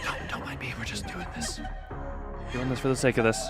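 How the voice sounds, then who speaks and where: A man's voice: medium pitch, fairly quick, vocal fry. A man hosting a game livestream, chatting and commenting as he reads along. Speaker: livestream host. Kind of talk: livestream game commentary, reading story text aloud and chatting. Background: game music.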